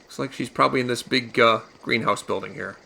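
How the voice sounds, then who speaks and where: Gravelly man with a cold, gaming commentary. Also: mid-range voice, a little fast, sharp tone. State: fighting a cold. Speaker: gaming channel host. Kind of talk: casual let's play commentary. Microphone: USB desktop condenser microphone. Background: game music.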